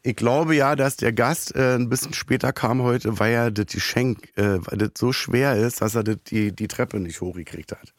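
A hoarse man, hosting a podcast in a rambling, self-mocking comic monologue full of halting fillers. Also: mid-range voice, no echo, studio quality. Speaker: podcast host.

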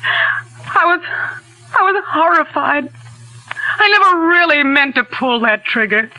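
A woman's voice: high-pitched, lively intonation, slow, sharp tone, full character voice, rough recording. An actress playing an anguished, sophisticated mother in a theatrical, intense 1940s radio drama style. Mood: distraught. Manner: theatrical, intense, earnest delivery. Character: anguished, sophisticated society mother confessing to a shooting. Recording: old 1940s radio recording.

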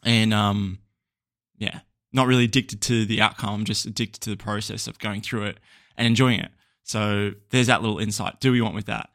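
A man's voice: fairly low pitch, fairly even intonation, moderate pace, fairly bright tone, dry recording, clean studio sound. A young Australian man hosting a casual solo podcast, rambling and chatty with lots of ums and small laughs. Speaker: podcast host.

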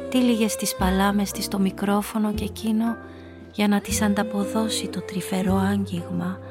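An actress playing a woman who narrates her own story in measured, atmospheric literary prose for a radio drama. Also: mid-range voice, fairly even intonation, over music, close to an everyday voice. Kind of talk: scripted first-person literary narration.

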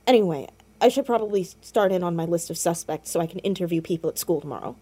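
A woman's voice: on the low side, expressive, fast talker, dark tone, breathy edge. A young woman playing a blunt, sardonic, self-conscious teenage girl in a naturalistic audio drama, easily exasperated. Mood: subdued.

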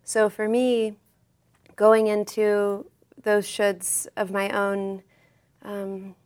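A woman's voice: medium pitch, little pitch movement, drawn-out words, darkish tone, smooth. A woman author and artist, a podcast guest speaking in a reflective, earnest way. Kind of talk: podcast interview.

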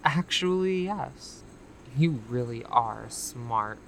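A woman's voice: extremely low pitch, expressive, takes it slowly, vocal fry, exaggerated voice. A female executive villain in a sci-fi audio drama. She takes her time, teasing and condescending, thoroughly pleased with herself. Faint room ambience and noise sit behind her. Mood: smug, teasing. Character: smug, taunting female time-traveling corporate executive villain.

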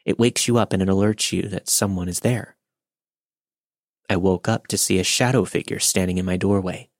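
A man calmly reading a listener's ghost story aloud. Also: deep voice, dry recording, studio quality. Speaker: podcast narrator. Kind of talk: scripted narration of a listener's story.

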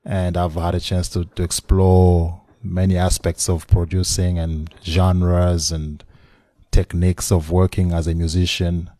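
Very deep-voiced man in his forties. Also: little pitch movement, measured pace, warm tone, no echo, studio quality. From Democratic Republic of the Congo. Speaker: podcast guest, musician. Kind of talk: casual remote interview. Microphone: remote call.

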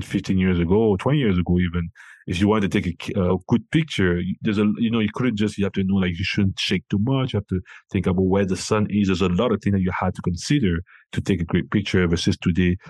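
Man, very deep voice, flat delivery, dry sound, hesitant, many restarts. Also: fast talker, slightly rough, no room sound. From Cameroon. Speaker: founder and CEO, guest. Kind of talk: casual interview talk.

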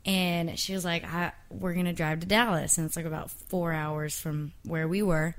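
A young woman sits as a guest in a relaxed interview and speaks softly in a lowish voice. She laughs a lot, and her answers trail off into fillers and small hesitations. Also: moderate pace. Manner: soft-spoken, laughs often, trails off with fillers. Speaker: singer and guest. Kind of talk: casual interview chat.

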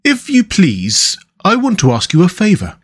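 A man's voice: sits high, wildly swooping pitch, normal speed, husky, pitched above the performer's own voice, full character voice. A man voicing a polite, eager, candid mole from a storybook, speaking earnestly. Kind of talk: storybook character dialogue.

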